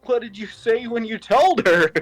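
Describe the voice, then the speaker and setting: A man's voice: very high-pitched, lively intonation, moderate pace. A man voicing a bookish, teasing country bumpkin girl with a put-on Southern accent in a comedic fan fiction reading. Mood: amused, teasing.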